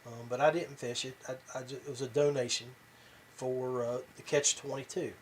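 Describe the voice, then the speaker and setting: A man's voice: mid-range voice, flat delivery, moderate pace. Man talking casually over background noise. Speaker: podcast host. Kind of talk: casual rambling talk to viewers about tournament fishing.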